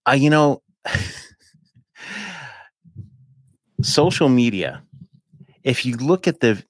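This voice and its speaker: A man in his fifties, a podcast guest, chatting casually in a rambling, animated way with lots of fillers. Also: mid-range voice, slow pace, no echo. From United States. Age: 50s. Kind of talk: casual chat.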